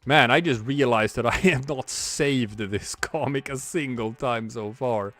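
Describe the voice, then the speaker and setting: A man's voice: mid-range voice, unhurried, fairly bright tone, soft background music. Rambling man chatting on a stream. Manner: rambling and reflective, many restarts. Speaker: streamer and comic artist. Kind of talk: casual livestream chat while drawing.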